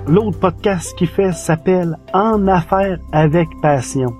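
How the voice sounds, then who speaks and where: A man's voice: high voice, slow, a little mellow. A man with a Quebec French accent giving an enthusiastic, informal podcast review from his notes. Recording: music behind the voice. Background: music.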